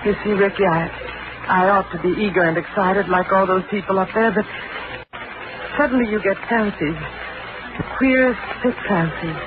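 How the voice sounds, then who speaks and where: A woman's voice: mid-range voice, normal speed, long pauses, close to an everyday voice. An actress playing a nervous young newlywed wife in a 1940s radio drama, muffled, with hiss behind her. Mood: uneasy. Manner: brooding, easily frightened. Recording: muffled hissy old radio recording.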